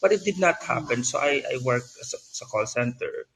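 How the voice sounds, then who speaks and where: A man in his thirties with a Filipino accent, a guest telling his story in a casual interview, chatty and animated with lots of fillers. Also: medium pitch, moderate pace, a little hiss or hum, low-quality recording. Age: 30s. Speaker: guest, business owner. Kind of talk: casual interview talk mixing English and Tagalog.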